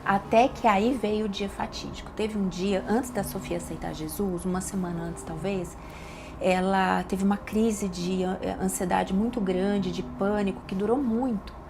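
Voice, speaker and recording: A woman's voice: medium pitch, fairly even intonation, normal speed. Emphatic Brazilian woman telling her story. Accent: Brazilian Portuguese. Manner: emotional, emphatic storytelling with repetitions. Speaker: doctor and podcast guest. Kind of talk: personal testimony in a podcast interview. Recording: audible background noise.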